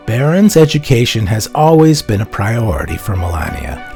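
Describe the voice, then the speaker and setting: Male narrator, formal polished read, lively mid-pitched voice, soft music under it. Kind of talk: scripted documentary narration. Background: faint background music.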